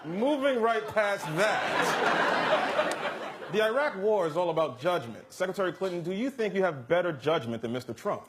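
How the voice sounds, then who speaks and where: An actor playing a formal, bemused American debate moderator in a live comedy sketch, measured and straight-faced. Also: male voice, high-pitched, expressive. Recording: noisy live studio, loud audience behind.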